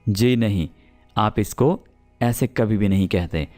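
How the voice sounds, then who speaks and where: A man with a Pakistani accent teaching spoken English, explaining patiently and repeating example sentences slowly. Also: on the low side, a little mellow, faint music behind.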